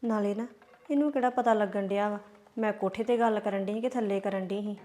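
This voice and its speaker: An actress with a Punjabi accent playing a young sister-in-law stuck in a family quarrel. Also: female voice, mid-range voice, steady tone, a little fast, mellow, faint music behind, close to an everyday voice. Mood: scheming. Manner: pleading, placating.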